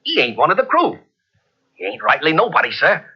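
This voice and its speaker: A spooked old sailor. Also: male voice, a little high, a little fast, breathy edge, exaggerated voice. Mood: earnest. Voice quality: rustic dialect, earnest and deferential. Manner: excitable, urgent telling. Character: superstitious frightened old ship's sailor. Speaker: ship's crewman. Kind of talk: 1940s radio acting. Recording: lo-fi old radio recording from cassette.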